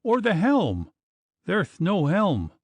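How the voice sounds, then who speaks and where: A man voicing a lisping breathless little gentleman. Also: fairly high pitch, huge pitch swings, takes it slowly, gravelly, dry recording, voice pushed up, full character voice. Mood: solemn. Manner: frantic, pleading.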